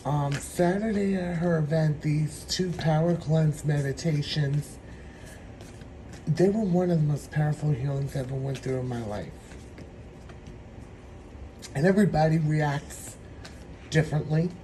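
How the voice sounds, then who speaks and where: A man with a hoarse, troubled throat giving a meandering, reflective spiritual tarot reading. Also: on the high side, dark tone, a little hiss or hum, poor audio. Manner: meandering, reflective, slow-spoken. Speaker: tarot reader.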